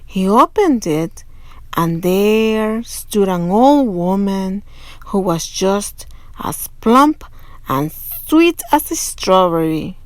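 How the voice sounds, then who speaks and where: Casting: female picture-book narrator, expressive, unhurried pace, dry close sound. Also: mid-range voice, no echo. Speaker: storybook reader. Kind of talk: children's read-aloud narration.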